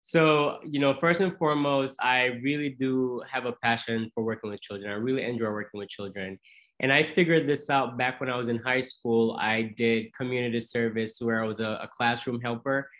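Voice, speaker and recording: A man in his thirties from Jamaica, a dentist answering interview questions in a measured, explanatory, conversational way. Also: medium pitch, steady tone, fairly bright tone, rough recording. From Jamaica, moved to the US as a teenager. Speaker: podcast guest, dentist.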